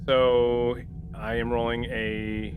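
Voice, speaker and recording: A man's voice: medium pitch, little pitch movement, slow, phone-quality sound, noisy background. A man playing a tabletop roleplaying game, casually chatting and narrating what his character does. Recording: background music under the voice.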